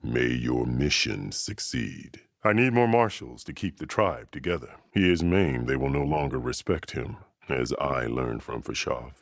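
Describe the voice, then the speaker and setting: Very deep, commanding warrior chief. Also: male voice, expressive, measured pace, hardly any pauses, dry recording, clean studio sound, exaggerated voice. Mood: stern, measured. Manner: solemn, formal, authoritative. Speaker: video game character voice actor. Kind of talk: dramatic game dialogue.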